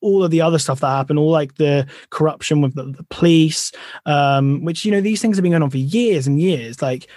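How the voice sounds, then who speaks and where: A man from a pop punk band talks through his answers, his voice pitched a little high. He rambles earnestly, with lots of "like" and "you know". The sound is close and dry. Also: a little fast, a little mellow, no echo. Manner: loose, filler-heavy, earnest. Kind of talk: casual interview answers.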